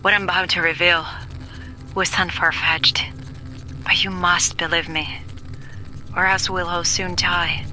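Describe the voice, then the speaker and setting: A woman's voice: on the low side, level tone, a little slow, pauses often, bright, forceful tone. An actress playing a frightened 1940s woman doctor who records a grave, urgent warning in an audio drama, often dropping into whispers. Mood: urgent, fearful. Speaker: expedition demonologist. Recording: noisy old reel-to-reel tape recording.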